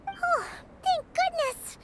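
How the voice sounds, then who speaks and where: A woman voicing a tiny, excitable childlike companion creature in a video game, with a squeaky, perky voice. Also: slow pace, background noise. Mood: perky, relieved. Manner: exclamatory, perky. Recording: voice under loud game music.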